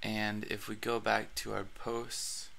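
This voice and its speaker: A man hosting a screen-recorded blogging tutorial, his voice raspy from allergies, talking casually with plenty of fillers and restarts. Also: fairly low pitch, completely flat delivery, takes it slowly, pushed and bright.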